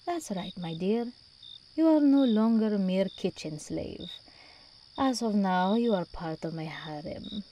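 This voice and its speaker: Woman playing a slow, mellow, seductive demon princess. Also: medium pitch, expressive, lo-fi sound, a little hiss or hum, lightly acted. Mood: seductive, possessive. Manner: regal, teasing, unhurried delivery with endearments. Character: seductive, imperious female demon princess, doting and possessive. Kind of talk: ASMR roleplay monologue.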